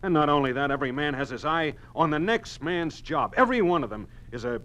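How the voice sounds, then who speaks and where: Anxious, agitated 1950s radio husband. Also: male voice, a little high, animated, fairly bright tone. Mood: agitated, grave. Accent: American. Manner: halting, agitated delivery, repeating words. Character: distraught middle-aged husband, anxious plant manager named Elliot. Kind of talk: 1950s radio drama acting.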